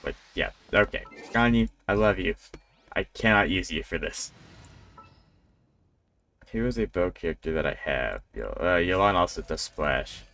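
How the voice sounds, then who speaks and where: A man's voice: sits low, expressive, a little slow, vocal fry, fairly bright tone, slight background noise. A man doing casual live gameplay commentary as a streamer, thinking aloud as he plays. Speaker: game streamer. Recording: game music faintly behind. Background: faint video game music.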